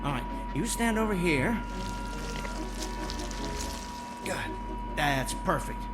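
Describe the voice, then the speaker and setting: An actor playing a mild, eccentric inventor and loyal friend in a television drama, speaking earnestly and politely. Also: male voice, a little high, expressive, unhurried. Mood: focused, tense. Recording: music under the voice.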